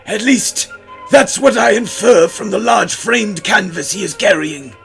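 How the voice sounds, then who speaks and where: An ancient storybook butler speaks far up in pitch, straining for clear words. He is sullen and dutiful, with stiff formal diction, over faint music. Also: expressive, unhurried, fairly bright tone, exaggerated voice. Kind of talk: theatrical storybook character voice.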